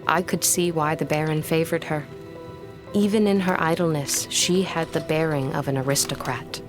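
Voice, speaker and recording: A woman acting an earnest, scholarly young female tutor with a fairly low voice. She speaks with measured, formal precision and a guarded composure, over soft music in an audio drama. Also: fairly even intonation, lightly acted. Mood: reflective.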